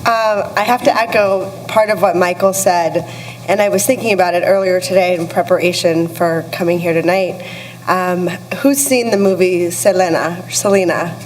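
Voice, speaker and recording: A woman from Texas speaking as a panelist at a live event, reflective and pausing often to restart her thoughts. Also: lowish voice, fairly even intonation, a little hiss or hum, poor audio. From El Paso, Texas. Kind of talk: panel discussion.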